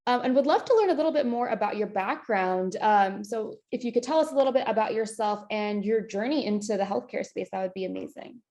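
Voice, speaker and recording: Woman host, bright and enthusiastic interviewer, casual, mid-range pitch. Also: brisk, few pauses. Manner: enthusiastic, upbeat, uses fillers.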